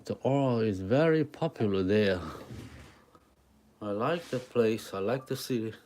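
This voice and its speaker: Slow-talking man with a Taiwanese accent. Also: fairly low pitch, darkish tone, slight background noise. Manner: halting, repeats short phrases, talks to viewers. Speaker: live-stream drawing artist and host. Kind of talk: casual live-stream chat with drawing demonstration.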